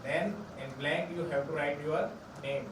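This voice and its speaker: A man teaches a class, stretching his words and repeating each phrase at an unhurried pace. His voice sits a little high. He is heard from far off in an echoey room, with steady noise behind him. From India. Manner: slow, repetitive explaining, drawn-out words. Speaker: Spanish teacher. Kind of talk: classroom lesson.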